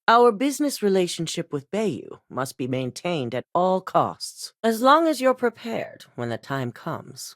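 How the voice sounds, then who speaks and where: Casting: poised corporate executive woman, low-ish voice with lively pitch, measured and formal, dry studio sound. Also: no room sound. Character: composed corporate executive woman, cool and commanding. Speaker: corporate executive giving assignments. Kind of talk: video game voice acting.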